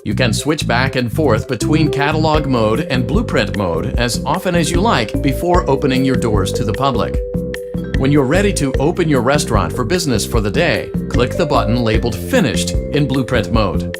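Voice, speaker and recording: A man playing a lively, peppy corporate tutorial guide, music behind. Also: mid-range voice, moderate pace, natural voice. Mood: upbeat, encouraging. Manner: peppy, encouraging, salesman-like. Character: upbeat, salesy male corporate training narrator in a video game. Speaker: tutorial guide for a pizzeria franchise. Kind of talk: scripted tutorial narration.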